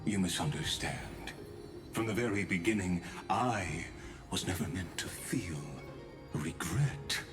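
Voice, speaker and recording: An ancient machine sculptor with a very low, unhurried voice. He is weary, theatrical and coolly menacing, as in a dramatic video game cutscene, over prominent music. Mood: cold. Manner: measured, theatrical, philosophical. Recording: music under the voice.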